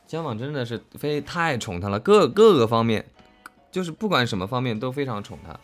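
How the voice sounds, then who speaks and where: Animated, effusive man chatting over faint music. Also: medium pitch, fairly quick. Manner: effusive, rambling, repeats himself for emphasis. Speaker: voice actor co-hosting a fan talk. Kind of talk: casual chat about an audio drama.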